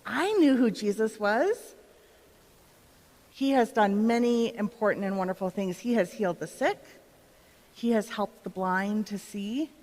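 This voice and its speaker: A woman playing an excited eyewitness to Jesus, telling her story directly to listeners in a live church drama. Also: medium pitch, unhurried, close to an everyday voice. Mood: awed, eager. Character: excited woman of Bethany, Lazarus's sister, witnessing Jesus. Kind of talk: dramatic monologue in a church performance. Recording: reverberant hall.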